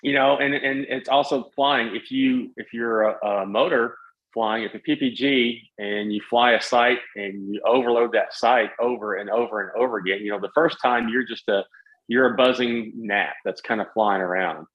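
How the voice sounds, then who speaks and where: A middle-aged man, a guest pilot in an interview, explaining things in a halting, conversational way. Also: mid-range voice. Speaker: guest, paraglider pilot and retired air traffic controller. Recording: dull, lo-fi voice audio.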